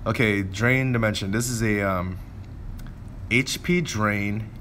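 A man's voice: fairly low pitch, takes it slowly, a bit bright, a little hiss or hum. A man giving casual gameplay commentary, informally thinking aloud as he plays. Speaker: gameplay video creator.

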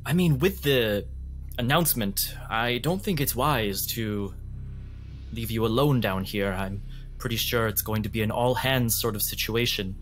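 A polite crewman of a ship, acted by a man speaks in a fairly low voice. He is apologetic but dutifully insistent. Music sits behind him in a fantasy role-play podcast. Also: unhurried, fairly bright tone. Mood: politely insistent.

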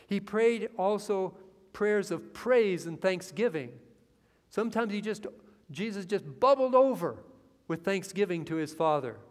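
A middle-aged man preaches from a church pulpit with a somewhat high voice. He talks earnestly and builds into excitement over scripture. A long reverb hangs in the sanctuary around him. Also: a little slow. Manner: earnest preaching that swells with excitement, lines read from the Bible. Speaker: pastor. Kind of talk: sermon.

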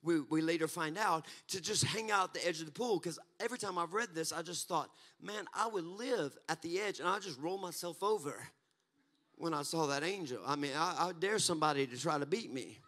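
A man, preaching a fervent sermon on a church stage into a handheld mic, building from talk to shouts. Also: fairly high pitch, a little fast. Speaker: pastor. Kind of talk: energetic Pentecostal sermon. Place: church stage, mid-sized hall.

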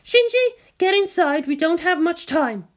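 A woman's voice: extremely high pitch, animated, unhurried, voice pushed up. A woman voicing an earnest, urging female military officer in a story reading. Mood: impatient urgency.

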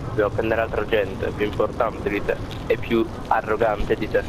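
A man's voice: lowish voice, monotone, normal speed. A man talking casually in an online roleplay game. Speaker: player in a GTA roleplay session. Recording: clearly noisy game voice chat.

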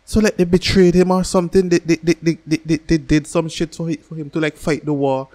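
A man in his thirties hosting a casual reaction video, talking in an animated, slangy way with constant swearing and repeated words. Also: fairly high pitch, a little fast. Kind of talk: casual excited reaction commentary. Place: small furnished room at home. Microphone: close desk microphone on boom arm.

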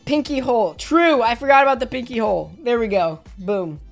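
A young woman streaming from a small bedroom, her voice hoarse and a little rough today. She talks casually into a headset with a bubbly, effusive warmth, pitched somewhat high, and soft music runs faintly behind her. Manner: bubbly, effusive, quick swings to excited squeals. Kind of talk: casual live-stream chat thanking viewers.

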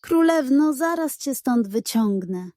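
A woman's voice: high-pitched, animated, slow pace, mellow, dry recording. A woman voicing a brave, cheeky little male puppy in a bold, taunting manner for a children's story. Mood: urgent whisper.

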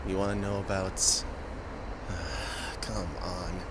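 A man playing an injured, famous musician who is guarded, halting and sarcastic, in an audio roleplay spoken to the listener. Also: low voice, level tone, very noisy. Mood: sarcastic, suspicious.

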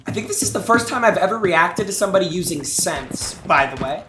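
Excitable young man streaming in a roomy echo. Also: high-pitched, fairly quick, background noise. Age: young adult. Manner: excitable, teasing, thanks chat between jokes. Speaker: streamer reacting to gameplay clips. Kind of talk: casual live-stream commentary. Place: small room indoors. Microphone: headset mic.

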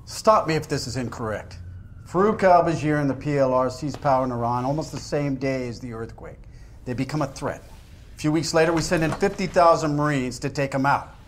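A man's voice: medium pitch, a little fast, slight background noise, natural voice. A man playing a scornful, aggressive agent in a video game interrogation, needling and accusing with open sarcasm. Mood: skeptical. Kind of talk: naturalistic video game acting.

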